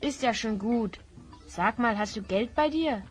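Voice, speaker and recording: Casting: actress for a level-headed, slightly anxious young hero, lightly high voice, natural delivery, audio drama with music behind. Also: female voice, measured pace, close to an everyday voice. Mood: mildly worried. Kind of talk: German fantasy audio drama acting.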